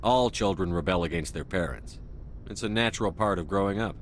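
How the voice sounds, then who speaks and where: A man's voice: fairly quick, natural voice. A man acting a composed, direct military starship commander in a video game. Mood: curious, calm. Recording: faint engine rumble behind.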